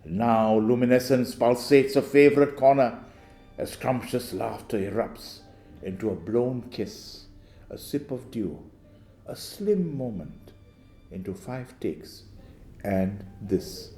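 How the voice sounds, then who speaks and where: Male poetry reader, mellow and very unhurried, echoey room, soft music. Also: medium pitch, expressive. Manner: slow, lingering delivery. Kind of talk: slow poetry reading.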